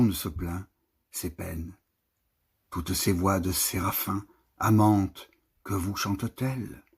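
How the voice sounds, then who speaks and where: Very husky, deep-voiced man reciting poetry. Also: darkish tone. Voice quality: husky, raspy, low voice. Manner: measured, declamatory phrasing with marked pauses. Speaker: poetry reader. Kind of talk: expressive literary poem recitation.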